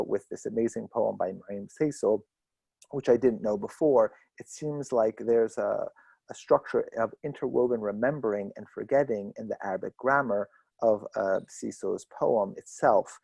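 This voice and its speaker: A man's voice: lowish voice, creaky, warm tone, husky, no echo, low-quality recording. A man hosting and moderating a literary conversation over a video call, enthusiastic but rambling, with many fillers. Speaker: host and moderator.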